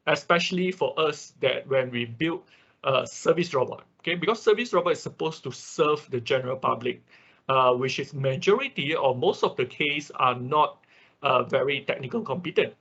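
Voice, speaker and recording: A man who is a non-native English speaker gives an informal explanatory lecture in an online class, in a halting, repetitive way with frequent check words. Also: mid-range voice, a bit bright, husky. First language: not English. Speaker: instructor of an online robotics class. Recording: dull, lo-fi online class audio.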